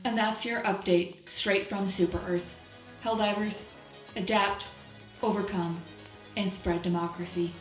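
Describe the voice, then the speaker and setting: A woman's voice: mid-range voice, fairly even intonation, a little slow, roomy sound, soft background music, close to an everyday voice, low-quality recording. A woman playing an upbeat, zealous wartime radio presenter, reading news in a punchy, propaganda-slogan style. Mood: upbeat, patriotic. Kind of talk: scripted in-universe radio news update.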